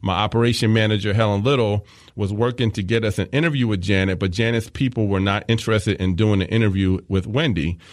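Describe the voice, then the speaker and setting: A man's voice: fairly low pitch, fairly even intonation, quick pace, no room sound, studio quality. A man hosting a podcast, telling a story in scripted narration with enthusiastic, candid asides. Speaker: podcast host.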